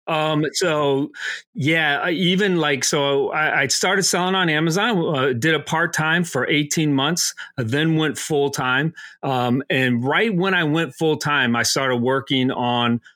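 Easygoing middle-aged man, bright dry voice. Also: fairly high pitch, a little slow, no echo. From Aurora, Illinois. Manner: relaxed, easygoing, laughs along. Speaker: guest, Amazon seller. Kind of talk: conversational interview answers.

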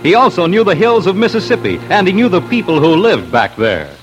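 A man's voice: high voice, big pitch swings, fairly quick, dull audio. A man announcing and narrating a vintage radio crime show in dramatic, clipped phrases. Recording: old radio broadcast under loud music. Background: loud music.

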